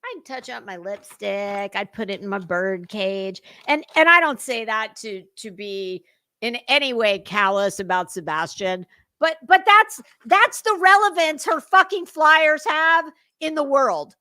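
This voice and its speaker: A woman's voice: on the high side, lively intonation, unhurried, bright, forceful tone, no echo, clean studio sound. A woman giving casual reaction commentary as a livestream host, sarcastic, mocking and foul-mouthed. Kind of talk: casual reaction commentary over a played clip.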